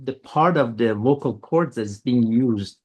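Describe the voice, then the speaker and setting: Iranian man, halting and searching. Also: medium pitch, darkish tone. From Iran. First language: Persian. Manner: searching for words, halting restarts. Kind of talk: reflective conversation.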